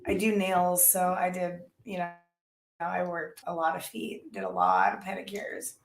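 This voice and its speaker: A woman's voice: fairly low pitch, lively intonation, measured pace, reverberant, low-quality recording. A woman co-hosting a podcast in casual chat, blunt and crude, laughing easily. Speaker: podcast co-host.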